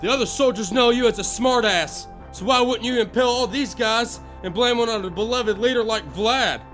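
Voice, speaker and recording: Man playing a very high-pitched jerk soldier. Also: normal speed, fairly bright tone, soft background music. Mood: outraged. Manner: brash, outraged. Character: ignorant, accusatory jerk of a male soldier.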